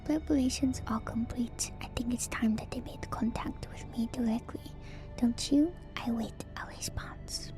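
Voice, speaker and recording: A woman doing ASMR in a breathy whisper, reading lore aloud with hushed, enthusiastic casual commentary. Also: a little high. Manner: hushed, slow, enthusiastic asides. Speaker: streamer and lore reader. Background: music.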